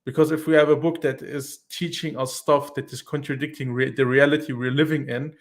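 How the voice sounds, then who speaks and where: A man explaining earnestly on a call-in talk show. He speaks in halting bursts with many restarts. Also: mid-range voice, level tone. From Iran, raised in Germany. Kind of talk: casual explanatory chat.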